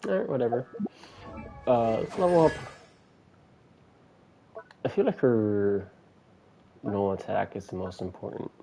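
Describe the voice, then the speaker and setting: A man's voice: on the low side, big pitch swings, unhurried, creaky, pauses often, mellow, a little hiss or hum. A man giving casual, informal commentary as he livestreams a video game, thinking aloud as he plays.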